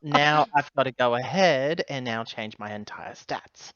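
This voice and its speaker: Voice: mid-range voice, measured pace, a bit bright, dry recording, muffled recording. A player in a tabletop roleplaying game, chatting casually and describing what their character does.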